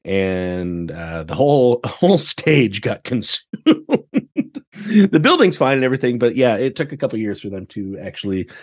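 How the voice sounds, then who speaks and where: A man, a podcast guest, chatting casually and telling anecdotes with animated, exclamatory reactions. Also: on the low side, moderate pace. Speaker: podcast guest, theatre director.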